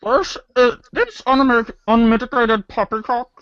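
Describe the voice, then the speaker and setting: A woman voicing a snooty, sardonic young girl in a read-along storybook parody, speaking deliberately and with dry exasperation. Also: on the high side, fairly bright tone, dry recording, highs cut off. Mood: haughty.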